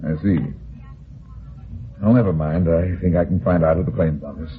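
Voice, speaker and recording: Low-voiced tough private eye, played by a man. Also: fairly even intonation, normal speed, natural voice. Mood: dry, probing. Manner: clipped, dry, pressing questions. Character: tough, inquisitive male private detective, dryly insistent. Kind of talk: old-time radio detective drama acting. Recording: noisy narrow-band vintage radio recording.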